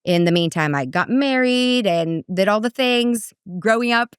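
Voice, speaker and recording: A middle-aged woman from Brazil, a parenting coach who tells her story in a casual, animated way, and her voice jumps up high when she quotes people. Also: measured pace, clear, resonant tone, no room sound, clean studio sound. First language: Portuguese.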